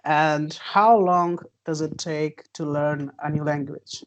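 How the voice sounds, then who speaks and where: A woman's voice: low-pitched, steady tone, slow pace, slight background noise. A woman hosting an interview, asking questions politely and answering with short encouraging remarks. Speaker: interviewer host.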